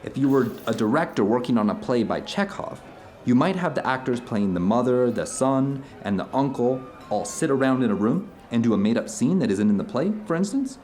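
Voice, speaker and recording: A man's voice: mid-range voice, expressive, a little fast, darkish tone, close to an everyday voice. A man playing an earnest theater director telling stories to an old friend in a conversational audio drama. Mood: earnest explaining. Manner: storytelling, earnest, discursive. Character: animated theater director recounting his travels to an old friend.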